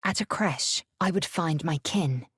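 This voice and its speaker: A proud alien warrior woman from a fantasy video game. Her voice is low and husky with a rasping edge. She speaks in slow, clipped, formal phrases, stern and scornful, as one used to giving orders. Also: no echo, clean studio sound. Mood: grave recounting. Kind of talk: dramatic game dialogue and narration.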